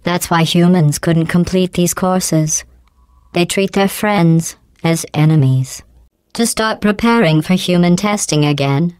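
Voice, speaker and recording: A woman voicing a cold, sarcastic female AI computer that runs test courses, speaking in a deadpan, condescending, passive-aggressive way with a smooth, synthetic-sounding voice. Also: fairly low pitch, no room sound. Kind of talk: video game voice clips. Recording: dry studio recording.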